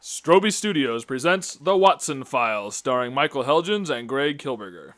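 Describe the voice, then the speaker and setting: A man's voice: mid-range voice, very animated, moderate pace, fairly bright tone. A man presenting a radio drama as its host and announcer.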